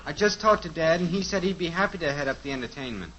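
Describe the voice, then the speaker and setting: A man's voice: fairly high pitch, expressive, fast talker, fairly bright tone, slight background noise. An actor playing an eager, scheming teenage son in a 1950s radio sitcom, earnest and breezy, fumbling his words. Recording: dull lo-fi old radio transcription.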